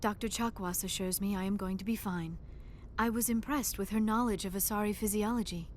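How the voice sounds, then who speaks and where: Woman playing a bookish young alien scientist lady, awkward n earnest, very proper speech, faint rumble behind her. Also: fairly even intonation, brisk, lightly acted. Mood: grateful. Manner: formal, precise diction with few contractions. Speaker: archaeologist crew member. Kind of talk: video game voice acting.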